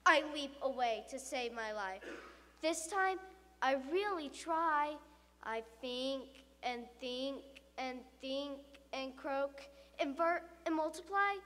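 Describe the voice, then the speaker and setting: A woman's voice: high voice, slow, heavily acted. A woman playing a dramatic, frightened school pupil telling a tall tale in rhyming verse. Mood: panicked, doomed. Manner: dramatic, expressive storytelling. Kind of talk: rhyming comic monologue, theatrically recited. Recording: reverberant hall.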